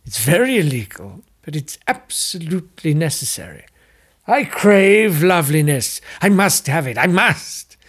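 A man voicing a pompous, obsessive aristocrat with a slow, drawling delivery. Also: on the high side, big pitch swings, a bit bright, breathy edge, pitched above the performer's own voice, heavily acted. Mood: fervent.

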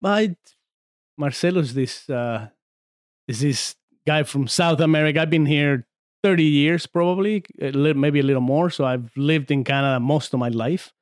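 A man's voice: on the high side, normal speed, no room sound. A man in his forties from Argentina, a podcast guest chatting casually in a studio, telling stories with many fillers and restarts. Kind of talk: casual chat.

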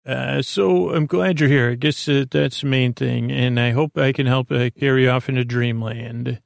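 A man hosting a bedtime podcast in a creaky voice, rambling in a meandering, hesitant way with frequent stammers. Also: mid-range voice, fairly even intonation, normal speed, dry recording, studio quality. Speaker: podcast host.

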